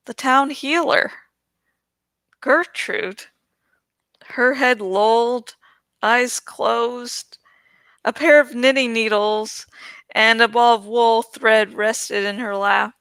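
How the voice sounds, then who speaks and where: Very slow, bright-voiced woman narrator. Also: on the high side, no echo. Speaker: audiobook reader. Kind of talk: scripted story narration.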